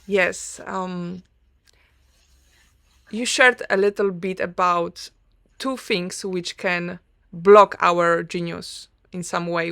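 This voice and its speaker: A woman with a Polish accent, a native Polish speaker, hosting an online interview in a soft-spoken, hesitant way with many ums. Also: lowish voice, creaky, clear, resonant tone, no room sound, clean studio sound. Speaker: host.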